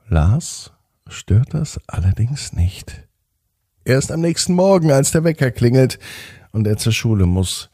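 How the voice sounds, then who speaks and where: A man with a husky, gravelly voice gently narrating a children's bedtime story in a soothing manner. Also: on the low side, no echo.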